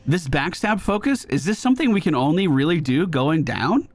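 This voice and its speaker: A man's voice: a little high, animated, normal speed, slight background noise. A man streaming a game, giving casual, slangy commentary as he thinks aloud. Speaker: gaming streamer. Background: game music.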